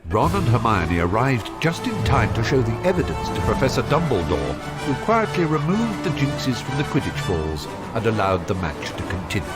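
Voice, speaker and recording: An actor narrating a fantasy video game story in a scripted, dramatic style. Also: male voice, mid-range voice, big pitch swings, normal speed, hardly any pauses, natural voice. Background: music.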